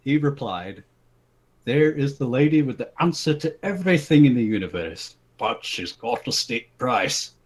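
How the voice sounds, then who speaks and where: A man's voice: mid-range voice, very animated, normal speed. A man giving a dramatic comic reading in a casual group voice chat, prone to breaking into giggles. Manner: giggly, often breaks into laughter. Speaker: reader of a comic story. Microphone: home mic in an online voice chat.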